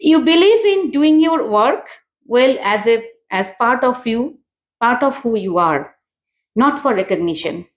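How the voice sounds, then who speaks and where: Casting: woman interview host, chatty and encouraging, slightly high voice, echoey room. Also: expressive, unhurried. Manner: chatty, frequent affirmations, non-native grammar. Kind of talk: conversational interview.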